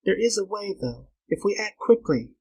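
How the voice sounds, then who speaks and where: A man's voice: high-pitched, sing-song, moderate pace, a little mellow, breathy edge, pitched above the performer's own voice, full character voice, poor audio. A man voicing a frightened, scheming young woman in a storybook reading, urgent and pleading.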